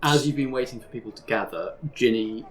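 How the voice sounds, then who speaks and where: A man narrating as game master of a tabletop roleplaying game, measured and descriptive, with frequent fillers. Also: mid-range voice.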